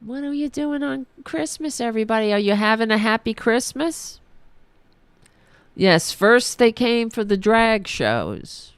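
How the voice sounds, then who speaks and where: A woman's voice: fairly high pitch, expressive, measured pace, clean tone, dry recording. A woman, hosting a political podcast, ranting in a heated, profane, sarcastic way while losing her voice. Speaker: podcast host. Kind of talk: angry political rant.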